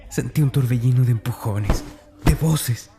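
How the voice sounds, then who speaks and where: A man's voice: medium pitch, unhurried, over loud music, lightly acted. An actor playing a tormented, fearful schoolboy, narrating his diary in a confiding, anguished, dramatic way. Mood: frightened, then anguished, agitated.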